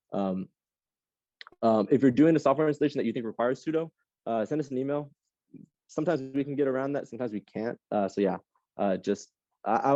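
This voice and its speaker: A man's voice: mid-range voice, mellow, no room sound, highs cut off. Rambling man teaching a computing class. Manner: casual, rambling, lots of ums and restarts. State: slightly hoarse. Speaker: cluster computing instructor. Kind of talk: live online class lecture. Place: classroom with a Zoom audience.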